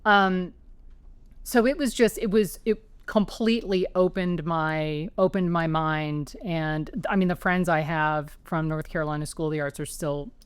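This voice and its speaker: A woman's voice: deep voice, steady tone, unhurried, clear, resonant tone, no room sound. A woman author being interviewed at home, chatty and anecdotal, restarting sentences as she talks. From New York area, raised as an Army child. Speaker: author guest. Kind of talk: conversational interview. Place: her home.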